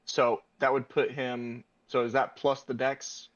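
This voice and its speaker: A man with a mid-pitched voice talks casually as a player at a tabletop game. He sounds tentative, thinking aloud, and the audio is dull and lo-fi. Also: little pitch movement, measured pace. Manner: tentative, working things out aloud.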